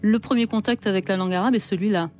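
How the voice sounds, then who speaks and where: Radio interviewer, woman, mid-pitched, poised and literary. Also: darkish tone, faint music behind. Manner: measured, literary phrasing. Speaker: radio host.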